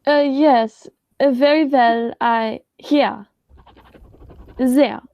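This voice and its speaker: A woman's voice: high-pitched, steady tone, very slow, no room sound, highs cut off, exaggerated voice. A woman playing a nervous, timid doctor in a radio play, hesitant and pleading. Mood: anxious.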